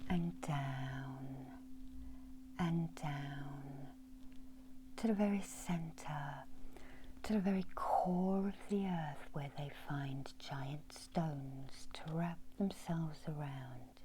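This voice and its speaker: A middle-aged woman whispering a soothing, breathy ASMR guided meditation as a healer, softly giving instructions. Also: sits low, extremely slow, noisy background. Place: small indoor room with folding screens.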